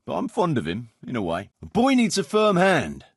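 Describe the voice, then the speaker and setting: A man's voice: a little high, huge pitch swings, normal speed, breathy edge, dry recording, studio quality, full character voice. A man playing a roguish, world-weary medieval mercenary captain in a video game, firm but fond, speaking bluntly and colloquially. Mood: gruffly fond. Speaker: game character, band leader. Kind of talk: video game dialogue acting.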